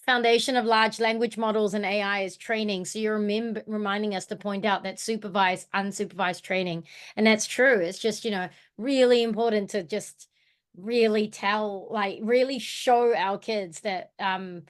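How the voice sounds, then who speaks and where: A woman's voice: medium pitch, fairly even intonation, normal speed. Chatty New Zealand woman thinking aloud. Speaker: workshop co-presenter, educator. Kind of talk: casual reflective discussion in an online workshop. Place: online video call.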